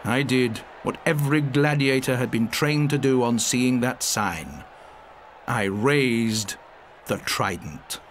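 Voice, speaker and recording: A man's voice: mid-range voice, slow, slight background noise. A man reading a first-person story aloud as an audiobook narrator, theatrical and full of suspense.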